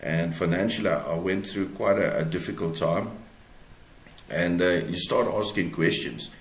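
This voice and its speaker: A South African man speaks in a deep voice as an interview guest. He answers in a conversational, reflective way, picking through his phrases haltingly. The recording has a clearly echoey room sound. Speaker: author, podcast guest.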